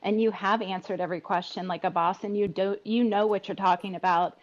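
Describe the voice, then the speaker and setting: A woman's voice: medium pitch, fairly even intonation, fairly quick. Gushing woman on dull lo-fi audio. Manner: gushing, supportive. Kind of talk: casual talk in a group audio chat. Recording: dull lo-fi audio chat sound.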